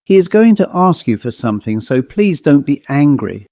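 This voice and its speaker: A man voicing a gentle young woman, plaintive and anxious, in a ghost story. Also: fairly high pitch, big pitch swings, normal speed, no echo. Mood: apologetic. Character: sweet-faced young woman, gentle, plaintive, anxious about her father.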